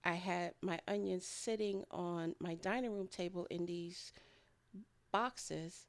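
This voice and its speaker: A woman with a fairly low voice and a good deal of gravelly fry in it. She talks through kitchen work as a home vlogger, in a casual and rambling voice-over. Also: slow pace. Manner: rambling, chuckles often. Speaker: home food and garden vlogger.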